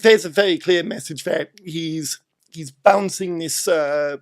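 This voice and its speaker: A British man with a fairly high voice discusses film casually. He explains his points step by step, leaning on repeated words for emphasis. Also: a little slow, gravelly. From Britain.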